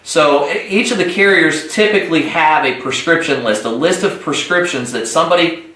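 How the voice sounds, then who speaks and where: A man's voice: on the high side, normal speed, pushed and bright. A man hosting a training call, giving direct, instructional sales coaching and repeating points for emphasis. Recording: echoey room livestream.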